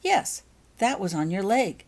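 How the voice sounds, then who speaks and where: Woman voicing a hesitant young schoolgirl witch, animated swings. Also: mid-range voice, unhurried. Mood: earnest. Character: young schoolgirl witch, uncertain, earnest and sensitive.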